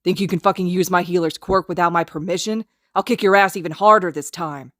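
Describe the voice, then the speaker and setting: A woman's voice: sits low, fairly even intonation, fast, no echo, studio quality. A woman reading a fanfic aloud, voicing a furious, domineering teenage boy who snarls and curses. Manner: snarling, cursing, shouting.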